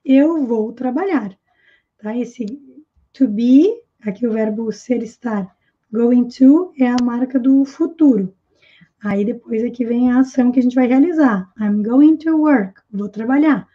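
A woman with a high, light voice teaches an English lesson from a small room at home. She says each example phrase slowly, more than once, and then explains it. The audio is dull and lo-fi, like a basic webcam mic. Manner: slow, careful repetition of example phrases. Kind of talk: online language lesson.

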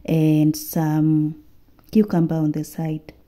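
Very dark, mellow Kenyan woman's voice. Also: low-pitched, monotone, takes it slowly. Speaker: homemaking and cooking vlogger. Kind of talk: casual vlog voice-over.

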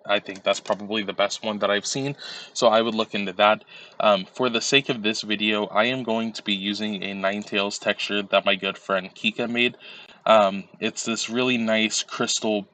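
A man's voice: lowish voice, steady tone, normal speed, pushed and bright. A man recovering from a cold, sounding a little nasal, walking viewers step by step through a screen-recorded tutorial with frequent ums and uhs. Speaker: tutorial creator.

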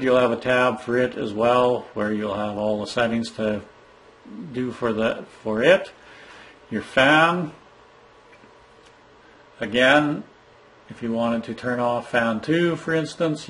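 A man giving a plain, step-by-step walkthrough of a monitor's setup screens, speaking from behind a handheld camera in an indoor room. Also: medium pitch. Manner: plain, instructive, some fillers and restarts. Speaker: product trainer.

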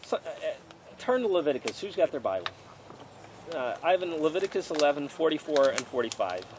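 A man's voice: on the high side, sing-song, fairly quick, background noise. A man in his forties, a pastor leading an outdoor sermon and Bible study, reading passages aloud and then preaching in a conversational way, recorded by a camera at a distance outdoors under a tent canopy.

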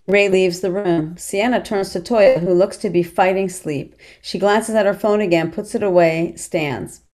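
A woman's voice: medium pitch, unhurried, darkish tone. A woman reading stage directions as scripted narration in a table read.

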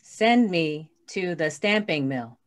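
A woman plays a rebellious robot in an old-time radio drama. The voice sits low and speaks in flat, blunt declarations, cold and resentful of humans. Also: slow pace. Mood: cold defiance.

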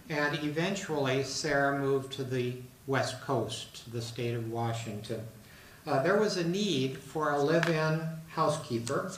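An older man giving a measured public lecture from a podium, with frequent fillers and restarts. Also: mid-range voice, fairly bright tone. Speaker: guest lecturer. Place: large lecture room with a seated audience. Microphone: podium microphone, recorded by a far camera. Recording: roomy hall with faint noise.

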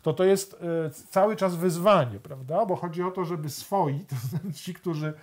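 Older male author, studio chat, halting and reflective, a bit high-pitched. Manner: thoughtful, many restarts and hesitations. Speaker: author and guest. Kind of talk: studio discussion.

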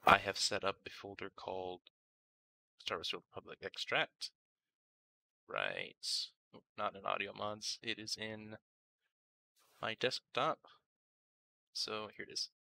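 A man talks through a software tutorial in an informal way, thinking aloud as he goes. His voice is low and gravelly at the edges, yet very bright and forceful. He sounds like a casual guide explaining each step. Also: expressive, slow, long pauses, poor audio. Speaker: tutorial host.

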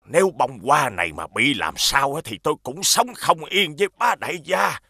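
A man voicing an elderly, fussy village gardener in fear of his master, in an animated fairy tale. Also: a little high, moderate pace, vocal fry, slightly rough, no echo, studio quality, exaggerated voice. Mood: scolding. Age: older. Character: elderly village gardener, anxious servant of a tyrant.

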